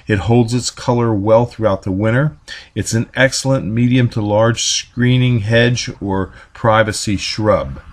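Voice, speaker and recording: A man narrating a voice-over, reading plant descriptions from a script in an even manner. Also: on the low side. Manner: even, read-aloud delivery.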